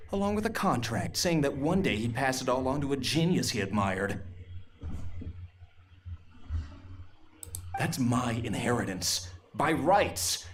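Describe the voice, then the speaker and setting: A man's voice: fairly high pitch, a little hiss or hum. A man playing a bitter, entitled grown son in an anime-style game, speaking in a sneering, resentful, theatrical manner. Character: bitter, entitled grown son scorning his estranged father.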